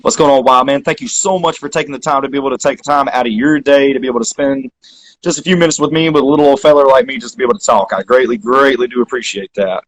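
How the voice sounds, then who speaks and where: A man's voice: mid-range voice, steady tone, quick pace, poor audio. A middle-aged man with a Southern accent, hosting a casual livestream interview in a folksy, effusive way. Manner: folksy and effusive, thanks guests at length. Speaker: interview host.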